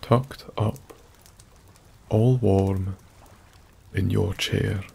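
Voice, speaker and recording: A Scottish man narrating a bedtime story in a soft-spoken, soothing ASMR style, with long pauses. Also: fairly low pitch, fairly even intonation, creaky, breathy edge. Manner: slow, soothing, hushed delivery with long pauses. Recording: faint background noise. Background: soft nature ambience.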